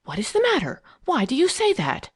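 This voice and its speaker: A woman voicing a bold, determined girl in a storybook, stern, forceful and insistent. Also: high-pitched, wildly swooping pitch, a little fast, dry recording, clean studio sound, voice pushed up. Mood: fierce, demanding.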